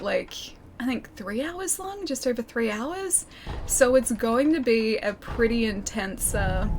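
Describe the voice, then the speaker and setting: A young woman streaming a game and chatting with her viewers, her voice a little croaky and scratchy from a sore throat, laughing often and calling people dude and man. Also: a little high. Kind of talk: casual chat with live stream viewers.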